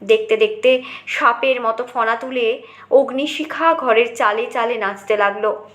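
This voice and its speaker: A woman's voice: a little high, unhurried, fairly bright tone, roomy sound. A woman reading a short story aloud as dramatic scripted narration.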